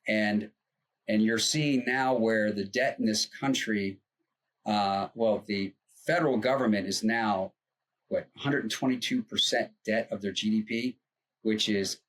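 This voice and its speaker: Casting: husky, low-pitched male co-host, earnest, rambling explainer. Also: poor audio. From United States. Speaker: co-host, financial advisor. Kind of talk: casual podcast chat.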